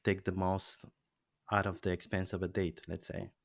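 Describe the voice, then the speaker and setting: A man's voice: low voice, level tone, moderate pace, vocal fry, no echo, studio quality. A man from Peru hosting a casual podcast discussion, thoughtful and hesitant, with frequent restarts. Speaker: podcast host.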